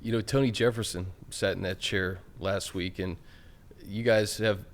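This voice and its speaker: Low-voiced American male sports host. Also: fairly even intonation, moderate pace. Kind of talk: casual sports interview.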